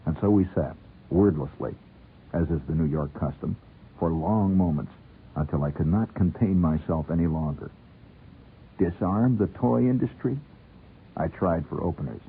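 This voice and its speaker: A man's voice: deep voice, normal speed, long pauses, slight background noise. A middle-aged American man hosting a radio show and telling a humorous story conversationally, with a wry, theatrical manner that savors ornate wording. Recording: muffled narrow-band old radio broadcast.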